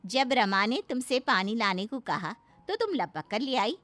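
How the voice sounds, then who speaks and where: Cartoon mother-in-law, female actor: middle-aged, jealous and bossy, with a sharp, forceful, high voice. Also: big pitch swings, unhurried, soft background music. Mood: indignant. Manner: bossy, complaining. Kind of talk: Hindi moral-story cartoon dub.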